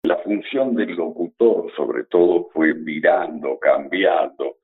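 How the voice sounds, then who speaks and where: A man's voice: low-pitched, slow. A radio announcer, a man, talking reflectively in an interview. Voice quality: husky. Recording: thin phone-like line.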